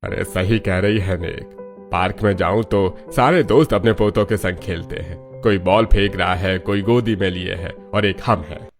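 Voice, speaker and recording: A man's voice: sits low, normal speed, soft background music, natural voice. A man voicing an old, plaintive village father in a cartoon, complaining and coaxing. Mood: wistful. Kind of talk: Hindi cartoon dialogue.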